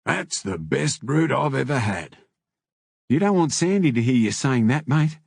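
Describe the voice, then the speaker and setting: A man voicing a matey, blunt male biker in an audiobook, talking in rough slang. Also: medium pitch, sing-song, unhurried, no room sound, clean studio sound, pitched above the performer's own voice, heavily acted. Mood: matey banter. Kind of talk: audiobook character dialogue.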